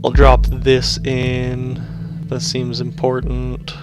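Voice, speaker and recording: A man with a cold murmurs his way through a game, sniffling and clearing his throat between low-key asides. His voice sits at an ordinary middle pitch. Game music plays clearly underneath. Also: completely flat delivery, slow pace. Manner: low-key, muttering asides while clicking through menus. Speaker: let's play gamer. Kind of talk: casual game commentary, reading on-screen text aloud.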